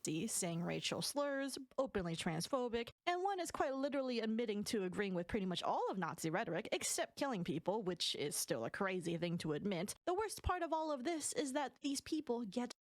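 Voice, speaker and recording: A woman's voice: fairly high pitch, big pitch swings, a little fast, few pauses, no room sound. A woman narrating a scripted commentary video with indignant, emphatic delivery.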